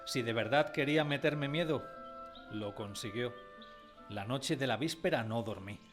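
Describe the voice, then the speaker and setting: A man's voice: medium pitch, measured pace, lightly acted. A man playing a wistful narrator who recalls his village boyhood in a scripted radio drama. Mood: wistful, storytelling. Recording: music under the voice.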